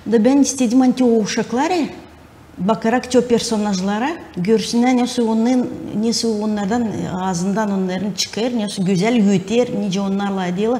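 Mellow, halting woman. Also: mid-range voice. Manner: halting, searching for words. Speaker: interview guest, film studio director. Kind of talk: unscripted interview answers. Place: TV talk studio. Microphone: lapel. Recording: faint background noise.

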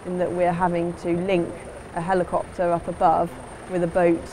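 A woman's voice: on the low side, completely flat delivery, moderate pace, background noise. A woman giving an instructional voice-over as a sailing instructor, in a plain, explanatory way.

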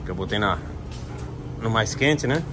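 A man's voice: fairly low pitch, lively intonation, normal speed, music in the background. A man with a Brazilian Portuguese accent chats informally to his viewers, narrating everyday chores as he goes with lots of colloquial diminutives. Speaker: vlogger. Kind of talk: casual vlog chat, narrating chores to viewers. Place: inside a self-service laundromat. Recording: noisy laundromat ambience.

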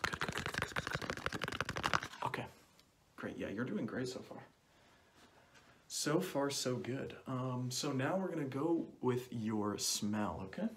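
A young man speaking softly through a cloth mask in an ASMR roleplay as an examiner, often dropping into whispers and repeating short phrases close to the mic. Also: mid-range voice, animated, measured pace, pauses often. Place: small plain indoor room. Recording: clearly noisy home recording with clicks.

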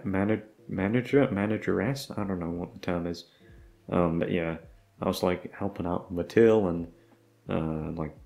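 A man's voice: sits low, a little mellow. A young man chatting casually off the cuff to listeners, rambling and hesitant, with some swearing. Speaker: audio roleplay creator. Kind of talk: casual unscripted chat.

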